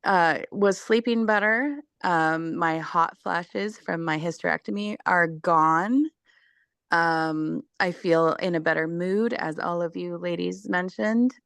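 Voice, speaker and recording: A woman's voice: lowish voice, takes it slowly, vocal fry, fairly bright tone, clean tone, dry recording, clean studio sound. A woman who is losing her voice, sharing her story as a network marketing guest on a video call.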